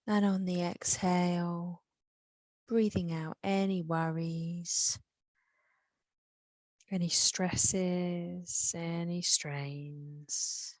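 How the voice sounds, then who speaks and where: A woman with an English accent leading a guided meditation, soothing and unhurried, with long pauses. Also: lowish voice, steady tone, darkish tone, no room sound.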